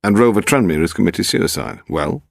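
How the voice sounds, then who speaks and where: A man's voice: sits low, expressive, brisk, gravelly, no echo, full character voice. A British man voicing a brusque, wry senior police detective in an audiobook, with a dry, sardonic manner. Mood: wry, matter-of-fact. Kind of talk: audiobook character dialogue.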